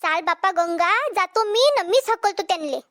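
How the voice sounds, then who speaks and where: A woman voicing an excitable, exasperated village farm wife in a cartoon comedy, with a Vidarbha Marathi accent and an exclamatory, complaining way of talking. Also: very high-pitched, moderate pace, faint music behind. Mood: alarmed.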